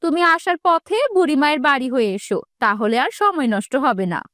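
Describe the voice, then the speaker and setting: A woman's voice: sits high, sing-song, brisk, fairly bright tone, no room sound, clean studio sound. A woman voicing a practical, mildly scolding village housewife in a fairy-tale cartoon, in a homely manner. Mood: coaxing.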